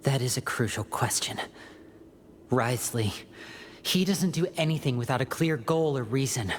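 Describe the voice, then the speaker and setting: Low-voiced, analytical young male leader. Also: a little hiss or hum. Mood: serious, pondering. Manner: measured, deliberate, serious. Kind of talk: video game voice acting. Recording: game dialogue over clear music.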